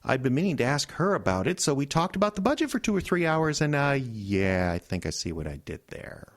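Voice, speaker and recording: Bewildered boss, very lively pitch. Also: male voice, brisk. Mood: sheepish. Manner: hesitant, stammering. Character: well-meaning, bewildered, socially clueless male radio-show boss. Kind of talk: comedic audio drama acting.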